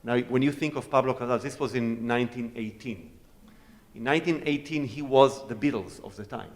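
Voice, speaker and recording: A man's voice: medium pitch, brisk. Brief: male cello soloist, Hebrew native, easygoing joking stage talk, long hall reverb. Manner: chatty, joking storyteller. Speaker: guest soloist cellist. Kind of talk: informal talk and audience Q&A on stage.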